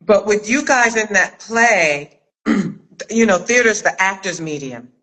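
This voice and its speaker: A woman with a bright, forceful voice hosts a relaxed, conversational interview. She speaks emphatically and directly to her guest, with the authority of a theater director talking craft. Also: medium pitch, takes it slowly, poor audio. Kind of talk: casual interview chat about acting craft.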